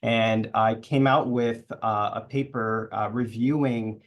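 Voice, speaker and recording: A man from New York City introducing himself as a panelist on an online webinar, pausing often as he speaks. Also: lowish voice, steady tone. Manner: hesitant, many uh fillers and restarts. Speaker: panelist, public health professor.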